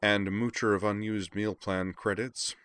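Man voicing an imperious, sharp-tongued young woman. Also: lowish voice, level tone, normal speed, no echo, natural voice. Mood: smug, disapproving. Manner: pointed, disapproving.

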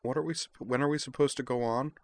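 A man's voice: mid-range voice, steady tone, quick pace, voice pushed up, close to an everyday voice. Man putting on a fretful, melodramatic moaner. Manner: moaning, fretful.

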